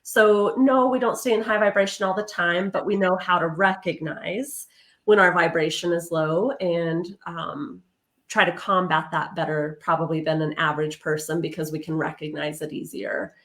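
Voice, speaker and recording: A woman's voice: on the low side, fairly even intonation, normal speed, a bit bright. A woman hosting a casual spiritual podcast, chatting with a guest and explaining terms to viewers over a livestream. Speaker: podcast host. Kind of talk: casual spiritual interview chat. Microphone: livestream video call.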